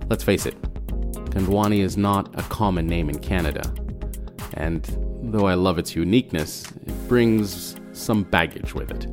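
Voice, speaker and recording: A man from Malawi whose first language is Chichewa, hosting a podcast in a scripted narration with playful asides. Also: sits low. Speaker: podcast host. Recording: music bed under the voice.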